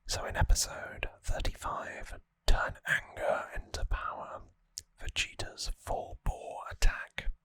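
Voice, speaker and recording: A person whispering an ASMR recap in a hushed, breathy, conversational way, close to the microphone. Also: slow pace, hardly any pauses, dry recording. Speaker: ASMR host. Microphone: close, dry microphone.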